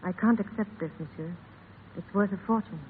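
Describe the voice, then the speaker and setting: An actress playing a proud, world-weary café woman in a measured, formal, restrained 1930s radio drama style. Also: mid-range voice, lightly acted. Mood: reserved, wistful. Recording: noisy, tinny 1930s radio broadcast.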